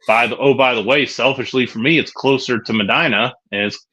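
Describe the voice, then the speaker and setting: A man from co-hosting a casual sports podcast, talking hesitantly with many pauses and restarts. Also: mid-range voice, bright, forceful tone. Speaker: podcast co-host. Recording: dull lo-fi sound.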